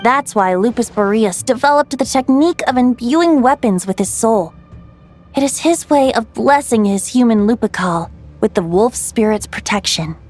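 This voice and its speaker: A woman playing a calm, playful female guide telling old lore in a video game, measured and poised. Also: fairly high pitch. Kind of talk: scripted game dialogue, storytelling.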